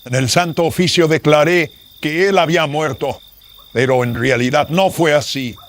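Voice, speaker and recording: A man's voice: on the high side, moderate pace, voice pushed up, natural voice. A man voicing a stern, secretive colonial nobleman in a horror radio drama, speaking formally and theatrically. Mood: grave explaining.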